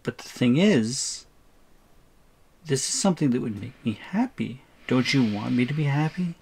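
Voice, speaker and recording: A man playing an exasperated but protective captive big brother in an audio roleplay, reasoning and pleading in measured tones. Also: mid-range voice, lively intonation, gravelly, darkish tone, husky. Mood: placating.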